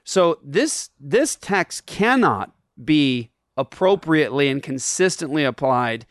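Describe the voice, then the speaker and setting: A man's voice: on the high side, big pitch swings, slow, no room sound, studio quality. A man who grew up in Japan, hosting and casually explaining a Bible passage to listeners, with frequent ums and restarts. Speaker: podcast host answering listener questions. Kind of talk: casual Bible teaching chat.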